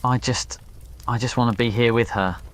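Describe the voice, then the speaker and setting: Guy doing a stubborn young wizard schoolboy for an asmr story, guarded, earnest, mid pitch. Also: fairly even intonation, moderate pace, natural voice. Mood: quiet, worried. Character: defiant, devoted young male wizard student.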